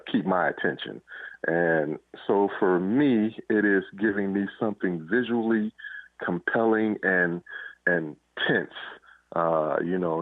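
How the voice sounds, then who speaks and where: A man answering casually as an interview guest, halting and pausing between short phrases, over a phone call. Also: low voice, no echo. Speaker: interview guest, crime fiction author and police officer. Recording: narrow tinny phone-line sound.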